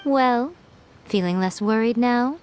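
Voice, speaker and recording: A woman's voice: on the high side, takes it slowly, background noise. A woman playing a sly, confident, teasing mentor in a video game, speaking with assured poise. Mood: amused, sly. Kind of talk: animated video game voice acting.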